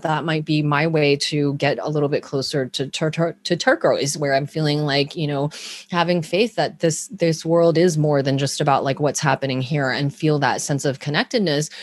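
A woman from Hawaii co-hosting a podcast, chatting casually in a rambling way, often restarting and laughing. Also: low voice. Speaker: podcast co-host. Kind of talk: casual chat.

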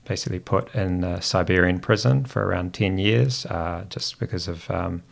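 A middle-aged man from New Zealand with a Kiwi accent, raised speaking Greek, chatting reflectively and haltingly as an interview guest. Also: sits low, creaky. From Christchurch, New Zealand. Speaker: podcast guest, founder. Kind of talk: casual reflective interview chat.

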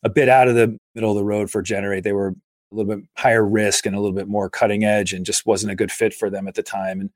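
A man in his forties from Massachusetts, a guest explaining his work in a steady, measured way during an interview. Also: lowish voice, dry recording, clean studio sound. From Massachusetts, USA. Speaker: guest, infrastructure investor. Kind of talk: conversational interview.

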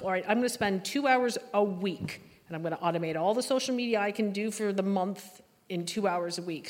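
A woman giving emphatic, structured advice as a panel guest, repeating her key points. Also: mid-range voice. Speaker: panel guest and independent creator. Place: live panel in a reverberant room. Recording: echoey hall with long reverb.

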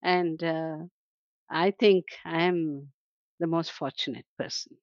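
Older woman, Indian English accent, conversational, soft laughs, dry, slightly muffled. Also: low voice, steady tone, slow pace, no echo. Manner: warm, frequent "you know" and soft laughs. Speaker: translator and scholar guest. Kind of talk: reflective conversational interview.

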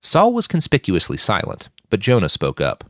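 A man's voice: on the low side, wildly swooping pitch, normal speed, fairly bright tone, no room sound, studio quality. A man reading scripted fiction as an audiobook narrator.

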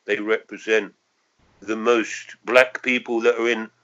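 A man's voice: lowish voice, flat delivery, measured pace, fairly bright tone, highs cut off. A man in his sixties with a London accent, reminiscing in an interview in a reflective, hesitant way, often circling back to qualify what he says. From London, England. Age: 60s. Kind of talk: reminiscing in an oral history interview.

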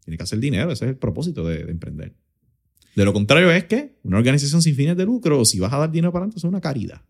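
A man's voice: mid-range voice, very animated, racing, vocal fry, breathy edge. A man with a Puerto Rican Spanish accent, an accelerator director answering interview questions in an explanatory way, mixing in English business terms. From Puerto Rico. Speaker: startup accelerator director, guest.

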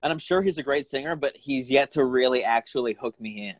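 A man's voice: mid-range voice, a little fast, no echo. A man in his late thirties co-hosting a casual chat, joking and sardonic, filling his talk with "like". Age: 30s.

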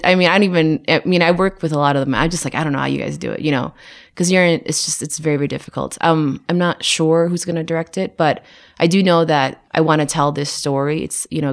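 Woman, low voice, American-raised, production designer, casual chatty interview, frequent laughter. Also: steady tone, fast talker, creaky. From United States. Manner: chatty, laughs often, many fillers and restarts. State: tired.

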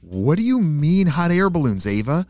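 A man voicing an excitable, goofy adult neighbor who exclaims loudly and gets flustered. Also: a little high, normal speed, soft background music, pitched above the performer's own voice.

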